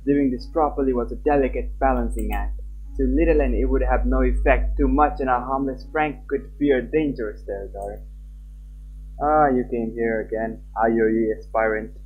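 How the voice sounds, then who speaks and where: A streamer reading a visual novel aloud live and chatting casually with viewers. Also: male voice, mid-range voice, phone-quality sound. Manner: animated, chatty, quick laughs.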